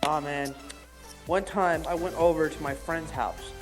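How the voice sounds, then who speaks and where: A man's voice: fairly high pitch, fairly even intonation, measured pace, faint music behind. A teenage boy, a podcast co-host, rambling through a long story with lots of "I was just like" asides. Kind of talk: casual chat, telling a long story.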